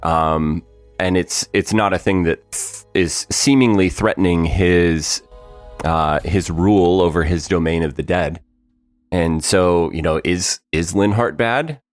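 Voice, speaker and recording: Podcast co-host, man, very deep voice, easygoing with quick jokes, faint music. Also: no echo. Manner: easygoing, quick joking asides. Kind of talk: casual behind-the-scenes chat.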